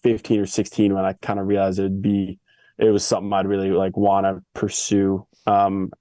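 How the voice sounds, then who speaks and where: A young man who grew up in Switzerland, chatting casually as an interview guest in a relaxed, slangy way full of fillers. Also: deep voice, normal speed, no echo. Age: young adult. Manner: laid-back, filler-heavy, slangy. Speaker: guest, Olympic freestyle skier. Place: family home in Switzerland.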